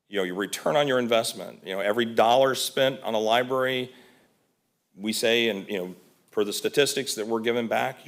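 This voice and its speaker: A man with a lowish voice gives a budget presentation to county commissioners. He speaks casually, with plenty of "uh"s and restarted phrases, into a podium microphone in a meeting room. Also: fairly bright tone. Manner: conversational, many fillers and restarts. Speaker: volunteer board member presenting.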